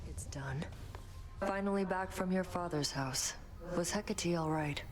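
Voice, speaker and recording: A woman playing a gruff, suspicious warrior goddess in a video game, terse and blunt. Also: on the low side, unhurried, close to an everyday voice. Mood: brooding, skeptical. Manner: terse, blunt, clipped phrasing. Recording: noisy ambient background.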